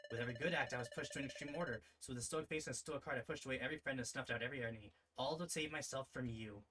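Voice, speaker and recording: Man playing a stoic, deadpan, reserved woman. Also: mid-range voice, a little hiss or hum, lightly acted. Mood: solemn. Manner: terse, deadpan, measured. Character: stoic, terse, reserved woman burdened by order. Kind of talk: visual novel character read-aloud.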